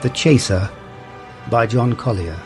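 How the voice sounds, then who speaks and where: A man reading a short story aloud in a measured, unhurried way. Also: mid-range voice, over music. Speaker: reader.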